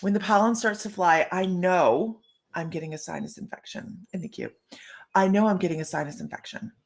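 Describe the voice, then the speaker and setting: A woman's voice: fairly low pitch, fairly quick. A middle-aged woman hosting a live stream as a psychic medium, chatting to viewers and greeting them by name.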